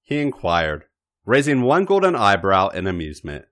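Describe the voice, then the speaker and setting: Low-voiced man reading with wildly swinging pitch. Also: wildly swooping pitch, normal speed, a bit bright. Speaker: podcast host and story reader. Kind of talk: dramatic scripted narration of erotic fiction.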